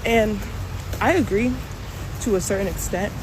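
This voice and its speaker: A woman's voice: medium pitch, moderate pace. A young woman with a New York accent talking casually to camera, rambling and unsure, full of fillers. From Brooklyn, New York. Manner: rambling and unsure, many fillers like "like" and "I don't know". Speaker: social media commenter. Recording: loud street noise with traffic.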